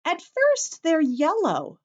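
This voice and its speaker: A woman's voice: very high voice, wildly swooping pitch, slow pace. A woman teacher reading aloud to young children, explaining things simply and putting questions to them as she goes, in slightly dull lo-fi sound. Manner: instructive, addresses children with questions and simple repetition.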